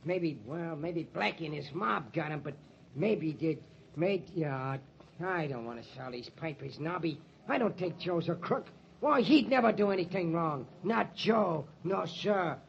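A man's voice: high-pitched, expressive, unhurried, vocal fry, full character voice. A man playing a simple, loyal, emotional newspaper seller, plaintive and repetitive, in an old-time radio drama. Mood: doubtful, sad. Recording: muffled, noisy old radio recording.

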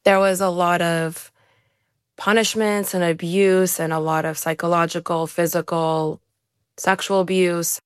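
A woman's voice: fairly low pitch, no room sound, studio quality. A young woman from Ukraine, an interview guest, earnestly telling her life story in a conversational way. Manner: earnest, conversational, with frequent restarts. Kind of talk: candid personal storytelling.

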